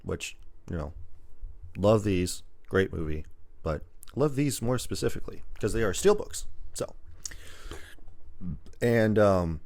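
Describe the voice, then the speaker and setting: A man's voice: fairly low pitch, animated, takes it slowly, long pauses, a little mellow. A man hosting a podcast in a casual, rambling chat, listing things off as he goes. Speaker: podcast host.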